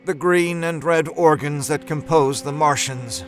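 A man reading first-person horror fiction as dramatic scripted narration, with a deliberate, theatrical delivery. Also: a little high, steady tone. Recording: narration over a music score. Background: soft musical score.

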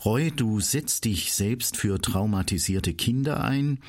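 A man's voice: fairly low pitch, animated, slow pace, darkish tone. A man hosting a radio show, interviewing a guest in a studio.

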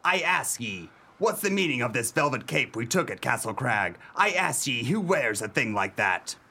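An actor playing a gruff, peg-legged male pirate in a comic radio play, speaking broad pirate dialect with growled exclamations. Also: medium pitch, sing-song, moderate pace, sharp tone, full character voice. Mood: suspicious.

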